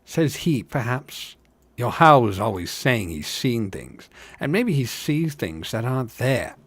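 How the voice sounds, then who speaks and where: A man's voice: medium pitch, sing-song, a little slow, slightly rough, no echo. A man voicing a skeptical storybook hobbit who talks in a dismissive, mocking, rustic way. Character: scoffing, skeptical male hobbit, mocking pub debater. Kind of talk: audiobook-style fantasy character reading.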